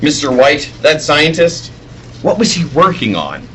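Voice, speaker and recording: Man acting an earnest, slow-spoken hero reporter. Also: fairly high pitch, sing-song, a bit bright, slight background noise. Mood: urgent, deducing. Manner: deliberate, urgent, dramatic. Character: earnest male reporter who is secretly a heroic superhero. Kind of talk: old-time radio serial acting.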